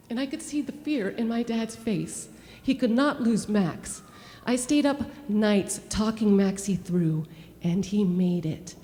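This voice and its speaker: A woman's voice: medium pitch, dark tone, slight background noise. A woman performing an autobiographical cabaret monologue with wry, emphatic storytelling, recorded live on a small club stage through a stage microphone. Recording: live club with reverb and piano.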